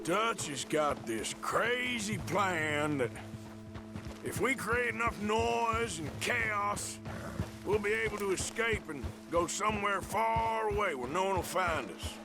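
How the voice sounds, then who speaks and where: Weary outlaw, higher voice, guarded, game dialogue over music. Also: male voice, animated, exaggerated voice. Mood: worried. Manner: plainspoken, slow and guarded. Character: weary rugged male outlaw, plainspoken. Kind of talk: video game voice acting.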